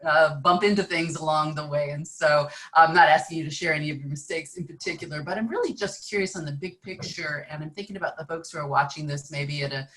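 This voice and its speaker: A woman interviewer poses a long, carefully built question. She talks at an even pace and stops often to search for her next word. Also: deep voice, low-quality recording. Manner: measured, searching for words. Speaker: interview host.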